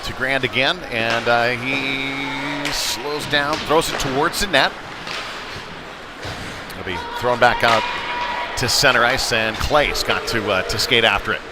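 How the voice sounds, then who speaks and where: A man giving live play-by-play commentary of a youth hockey game, following the action and lifting his voice at big plays. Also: a little slow. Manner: running description with excited shouts at big plays. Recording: noisy arena with rumble and thuds.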